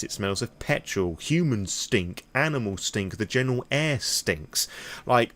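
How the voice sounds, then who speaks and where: A British man hosting a vlog, explaining things in a casual, wordy, frank conversational way. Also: lowish voice. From Britain. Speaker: host of a lore channel.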